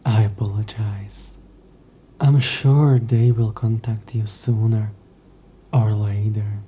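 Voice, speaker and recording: A man's voice: on the low side, steady tone, slow pace, dark tone, breathy edge. A man playing an ancient, possessive demon in an intimate audio roleplay, speaking to the listener in a formal, wry, murmuring way. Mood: reassuring. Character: ancient possessive male demon, sardonic, curious, teasing.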